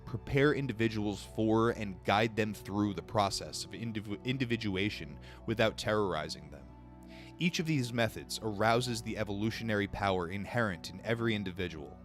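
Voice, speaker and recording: A young man with a lowish voice reads a spiritual book aloud for a live audience, tripping over the odd word. Between passages he talks with chat in a loose, blunt, profane way. Faint music plays behind him. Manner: casual and blunt, swears, breaks off reading to answer chat; stumbles over words while reading. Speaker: livestream book reader. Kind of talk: reading a book aloud on a livestream, chatting with viewers.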